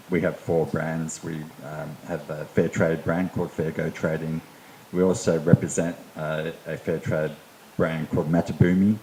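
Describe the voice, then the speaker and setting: A man's voice: low voice, dark tone, slight background noise, low-quality recording. A man introducing himself as a business director at a panel, hesitant with dry humour.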